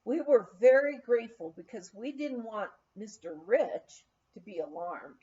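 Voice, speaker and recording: A woman narrates a memoir at an unhurried pace, her voice soft-edged and mellow, pitched a little high. She tells it as a measured, expressive story read aloud, on a slightly dull recording. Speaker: audiobook reader.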